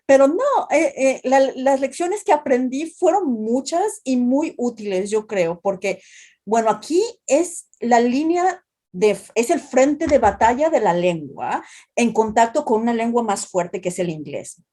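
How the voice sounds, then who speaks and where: A woman's voice: a little high, expressive, measured pace, mellow. A woman from Mexico with a Mexican accent, a translation professor explaining ideas in an interview with many restarts and tag questions. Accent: Mexican Spanish. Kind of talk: academic interview talk.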